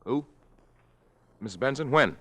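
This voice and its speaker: An actor playing a brash, selfish, fame-hungry boyfriend in an old radio drama, pushy, excitable and slangy. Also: male voice, mid-range voice, a little slow, no room sound. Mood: brisk, bossy. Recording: dry vintage radio broadcast.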